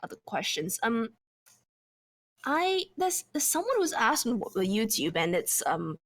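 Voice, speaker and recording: A woman's voice: on the high side, very animated, measured pace, fairly bright tone. A woman hosting a casual podcast interview, chatty and often trailing off with fillers. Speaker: podcast host.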